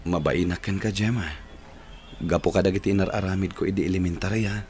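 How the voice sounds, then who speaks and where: A man's voice: deep voice, fairly even intonation, quick pace, darkish tone. An actor with an Ilocano accent playing an earnest, apologetic young man in a radio drama. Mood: remorseful, grateful. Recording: music bed under the dialogue.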